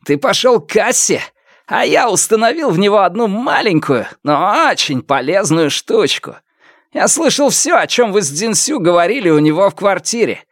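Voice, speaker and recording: A man voicing a frantic, wounded villain in an audiobook, hoarse and breathless, with a taunting, raised voice. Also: extremely high pitch, big pitch swings, a little slow, dry recording, clean studio sound, voice pushed up, full character voice. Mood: gloating. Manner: shouting and taunting. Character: frantic wounded male villain, gloating and enraged.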